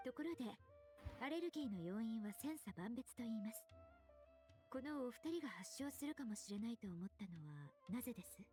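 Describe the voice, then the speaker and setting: A woman playing a polite, knowledgeable young perfumer in a video game, speaking in a formal, measured, explanatory manner. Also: fairly high pitch, lively intonation, dark tone, lightly acted. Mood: polite, inquiring. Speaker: voice actor. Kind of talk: scripted game dialogue. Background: background music.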